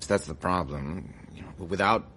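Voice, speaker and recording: An actor playing a dry, deferential American intelligence officer in a radio drama, understated, wry and a little hesitant. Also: male voice, low voice, moderate pace, pushed and bright, a little hiss or hum. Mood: measured, cautious.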